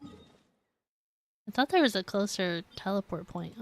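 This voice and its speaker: A woman's voice: medium pitch, lively intonation, takes it slowly, no room sound. A woman giving casual live gameplay commentary, giggly and thinking aloud as she plays. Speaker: video game streamer. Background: game music.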